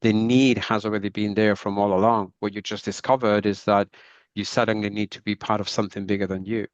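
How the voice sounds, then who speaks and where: A middle-aged Spanish-accented man talks in a low voice with little rise and fall. His tone is smooth and resonant, and he tends to tag his thoughts with "right?". The sound is dry and a little dull. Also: little pitch movement, brisk, no echo. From northwest Spain. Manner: reflective, often ends points with "right?". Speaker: podcast guest. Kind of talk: conversational interview.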